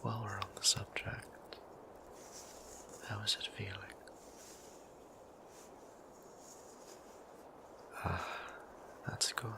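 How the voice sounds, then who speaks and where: A man playing a gentle, courteous vampire priest in an intimate audio roleplay, speaking in a breathy whisper with formal, old-fashioned phrasing. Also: steady tone, measured pace. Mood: bashful concern. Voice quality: breathy whisper with creaky low tone.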